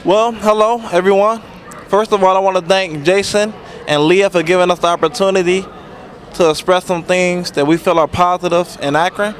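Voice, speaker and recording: A man in his twenties, speaking earnestly in a halting way with many pauses and restarts about mentoring work. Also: high-pitched, steady tone. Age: 20s. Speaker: interviewee, youth mentor. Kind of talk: unscripted talk about community programs. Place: outdoors at a transit center.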